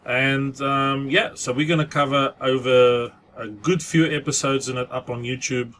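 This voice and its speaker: A man's voice: medium pitch, fairly even intonation, normal speed, a bit bright, a little hiss or hum. A man from South Africa with a South African accent, talking casually to camera for his own channel and dropping in Afrikaans words. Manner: chatty, mixes in Afrikaans phrases. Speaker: vlogger. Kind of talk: casual vlog monologue.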